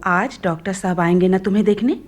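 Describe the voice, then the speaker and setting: A woman's voice: medium pitch, a little fast, natural voice. A woman acting an anxious, devoted mother in a radio play, coaxing and pleading with worry. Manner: coaxing, imploring.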